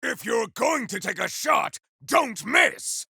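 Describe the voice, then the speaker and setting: A man playing a zealous, boastful, battle-hungry warrior champion in a video game, with a raspy voice and bombastic, archaic delivery. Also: very high voice, animated, takes it slowly, sharp tone, dry recording, studio quality, exaggerated voice. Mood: battle fury. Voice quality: gravelly, raspy. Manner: bombastic, archaic, theatrical declamation. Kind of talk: video game combat barks.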